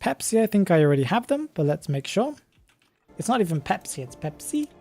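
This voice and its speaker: A streamer from the UK with a British accent, chatting casually on a live stream, reading viewer comments aloud, chuckling often and thinking out loud. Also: medium pitch, very animated, brisk, faint music behind. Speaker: game streamer.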